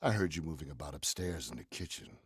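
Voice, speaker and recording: Guy doing a old radio horror murderer, low husky voice, bossy and menacing, swings up and down a lot. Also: lively intonation, fast, no room sound, clean studio sound, full character voice. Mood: cold, menacing. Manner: theatrical, forceful. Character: menacing male murderer, commanding, coldly confessing. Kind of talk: 1940s radio horror acting.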